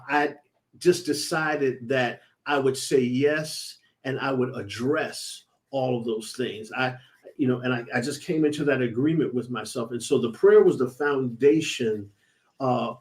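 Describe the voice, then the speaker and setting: This man talks as a reverend in a mid-range voice, earnest and searching. He circles back on his words while he thinks aloud. The delivery is reflective conversation, not a sermon. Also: medium pitch. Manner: earnest and searching, repeats words as he gathers thoughts.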